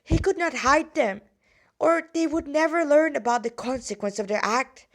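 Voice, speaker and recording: A woman reading her own fan fiction aloud in an amateur, halting way, stumbling and correcting herself with casual asides. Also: sits high.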